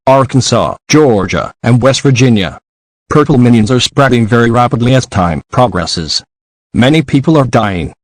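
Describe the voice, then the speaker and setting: A man's voice reading an official emergency broadcast in a steady announcement style. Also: mid-range voice, no room sound.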